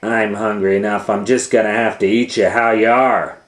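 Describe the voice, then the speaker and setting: A man's voice: lowish voice, level tone, normal speed, pitched above the performer's own voice, lightly acted. A man voicing a greedy, impatient old witch in a children's storybook, gloating and bossy as the witch exclaims. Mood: impatient, annoyed. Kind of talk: children's storybook read-aloud. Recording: roomy, echoing indoor sound.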